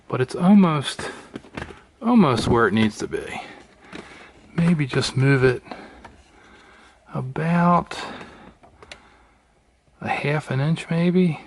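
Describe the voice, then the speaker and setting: A man's voice: medium pitch, animated, slow pace, long pauses. A middle-aged man narrating a casual how-to vlog, thinking aloud in a tentative way. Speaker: vlogger. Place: car interior and indoor workbench. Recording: noisy recording with clicking.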